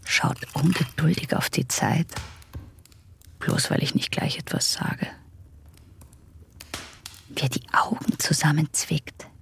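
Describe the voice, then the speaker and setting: A woman's voice: low voice, fairly even intonation, slow, vocal fry, pauses often, in a whisper, full character voice. An actress playing a sullen, sceptical young female patient in a radio drama, murmuring dry, terse observations. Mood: scornful, observing. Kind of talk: radio drama acting, whispered inner monologue.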